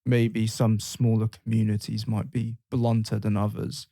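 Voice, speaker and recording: A man's voice: on the low side, little pitch movement, unhurried, gravelly, warm tone, dry recording, clean studio sound. A man hosting a podcast, chatting casually, laughing easily and asking his guests questions. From England. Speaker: podcast host. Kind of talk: casual discussion.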